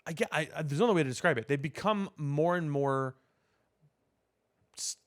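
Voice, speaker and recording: A man co-hosting a casual podcast discussion, giving opinions in a halting way, repeating words as he builds his point. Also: on the high side. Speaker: podcast co-host.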